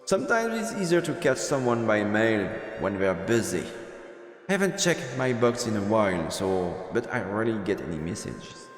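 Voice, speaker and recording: Male streamer, lively intonation, playful, reading game text aloud, roomy reverberant sound, music behind. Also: fairly low pitch, moderate pace. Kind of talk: live let's play commentary, reading game text aloud.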